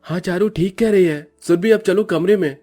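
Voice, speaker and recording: A man's voice: high voice, moderate pace, warm tone, faint music behind, voice pushed up. A man voicing a young groom in a cartoon story, a mildly scolding brother and husband. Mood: placating. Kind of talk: Hindi cartoon story acting.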